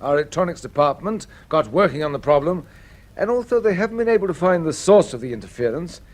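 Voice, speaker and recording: Actor as polite, animated technical colonel. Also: male voice, a little high, fast talker, close to an everyday voice. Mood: explanatory. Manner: hesitant, polite, explanatory. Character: methodical military colonel explaining technical gadgets. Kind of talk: 1950s radio drama acting.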